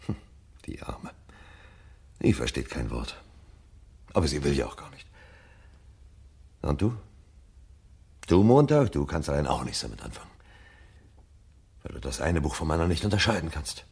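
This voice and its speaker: A man's voice: rumbling low, sing-song, normal speed, pauses often, darkish tone, breathy edge, full character voice. A man acting a troubled, searching fireman with an agitated, brooding manner in a 1970s German radio drama. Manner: agitated, brooding, talks to himself. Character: troubled, searching fireman questioning his society.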